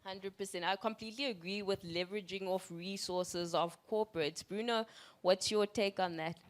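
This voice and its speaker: A woman's voice: mid-range voice, steady tone, normal speed. Upbeat woman moderating. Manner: upbeat, encouraging. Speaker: event MC and panel moderator. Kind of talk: moderating a live panel. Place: event venue.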